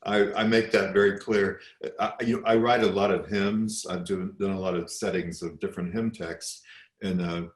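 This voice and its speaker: An older man from South Texas with an American accent, talking reflectively in an interview as a composer, often pausing and restarting his phrases. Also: sits low, fairly even intonation, echoey room. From South Texas, United States.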